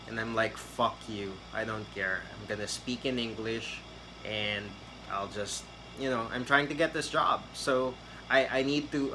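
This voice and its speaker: A man's voice: mid-range voice, noisy background. A man, giving a casual, rambling tutorial on getting hired in a call center. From Philippines. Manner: chatty, rambling with fillers and restarts. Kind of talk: casual tutorial talk to camera.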